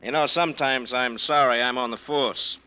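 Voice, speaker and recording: An actor playing a cynical, needling homicide lieutenant in a 1940s radio crime drama. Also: male voice, mid-range voice, moderate pace, pushed and bright, clear, resonant tone, highs cut off, close to an everyday voice. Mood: sarcastic. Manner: sardonic, needling, tough.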